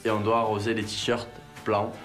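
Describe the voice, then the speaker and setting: A man's voice: on the low side, completely flat delivery, normal speed. A man on a reality show talking to camera, upbeat and boastful about his job. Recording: loud music behind.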